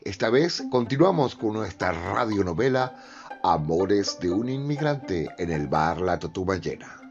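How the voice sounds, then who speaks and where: A man's voice: low voice, big pitch swings, unhurried. A man presenting a radio drama episode with a scripted, theatrical introduction, in a dull recording. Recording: dull lo-fi sound, prominent music.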